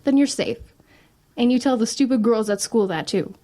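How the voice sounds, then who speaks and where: A wry teenage girl, played by a woman in an audio drama. She has a slightly high voice and talks in a casual, dry way, like a guarded but protective older sister. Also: normal speed, warm tone, natural voice. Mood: reassuring.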